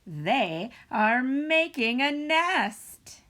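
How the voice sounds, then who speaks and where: A woman acts out a female storybook pig, calm and matter-of-fact. She speaks extremely slowly and deliberately, with an animated melody that rises and falls widely, as in a picture book read aloud. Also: high voice, voice pushed up, full character voice.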